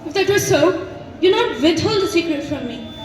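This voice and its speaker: A woman's voice: very high-pitched, lively intonation, moderate pace. A young woman acting an earnest, insistent noble Roman wife in a school play, pleading in a declamatory way. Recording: echoey stage from far off, music behind.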